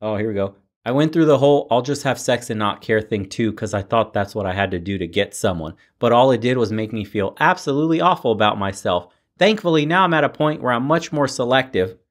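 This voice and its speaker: A man's voice: medium pitch, expressive, brisk, hardly any pauses. A man reacting to video clips as host, blunt, scolding and sarcastic. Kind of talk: commentary on clips.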